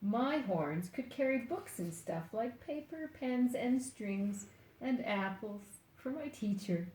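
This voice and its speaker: Slow-paced older woman reading a storybook. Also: a little high, expressive, darkish tone, roomy sound, rough recording. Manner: deliberate, playful, addresses young listeners directly. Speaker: storyteller reading a children's book aloud. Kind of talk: expressive picture-book read-aloud with asides.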